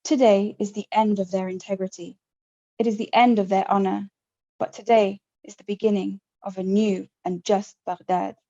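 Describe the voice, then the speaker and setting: A woman's voice: medium pitch, steady tone, normal speed, dark tone, dull audio, natural voice. A woman reading as a fiery rebel orator. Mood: defiant, rousing. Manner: fiery, rousing speech to a crowd. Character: defiant young male rebel orator.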